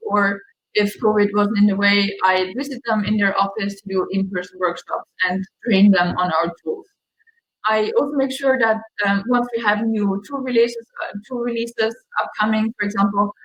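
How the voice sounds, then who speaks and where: Young Austrian woman, accented English, online tech talk; slightly high, friendly, explains with small fillers. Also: a bit bright, lo-fi sound. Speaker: customer success manager. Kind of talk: online meetup presentation.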